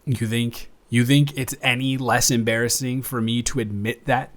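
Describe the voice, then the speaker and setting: A man's voice: medium pitch, fairly even intonation, moderate pace, natural voice. A man playing a shy, affectionate roommate in an improvised intimate audio roleplay, speaking in a hesitant, rambling way with stammers. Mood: flustered. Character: shy, affectionate male roommate confessing to best friend.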